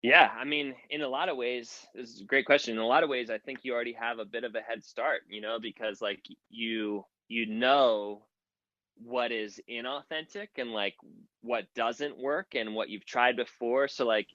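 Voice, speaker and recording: A man's voice: mid-range voice, steady tone, normal speed, bright, forceful tone, no room sound. A man talks through advice in an earnest, rambling way, leaning on "like" as a filler. The sound is dry and slightly dull. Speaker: guest creator giving advice. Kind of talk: casual chat, audio room.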